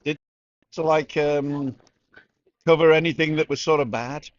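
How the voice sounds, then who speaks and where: A man's voice: on the high side, fairly even intonation, measured pace, no room sound. Man in his sixties, chatty host, dry close sound, slightly dull recording. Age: 60s. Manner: chatty, asks lots of questions. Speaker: vlogger host. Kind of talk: casual chat. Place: bar.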